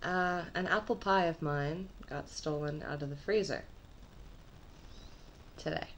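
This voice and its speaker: Low husky nasal woman rambling. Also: measured pace, slight background noise. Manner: rambling, trailing off mid-thought. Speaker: vlogger. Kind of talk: casual rambling vlog. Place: her own apartment.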